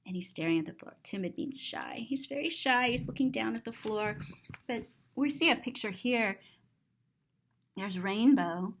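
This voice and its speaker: A woman's voice: on the high side, lively intonation, moderate pace, slight background noise. A woman reading a children's picture book aloud as a story-time host, expressively and with simple explanations for young listeners.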